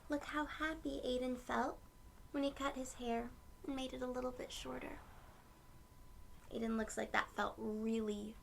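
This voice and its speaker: A woman reading a picture book aloud to young children, with gentle storytelling phrasing and asides to her listeners. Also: high-pitched, steady tone, normal speed, creaky, pauses often, a little hiss or hum.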